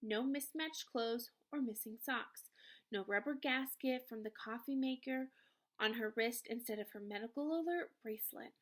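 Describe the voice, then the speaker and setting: A woman reading a children's novel aloud in an expressive, emphatic storytelling manner. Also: fairly high pitch, moderate pace. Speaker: read-aloud reader, teacher.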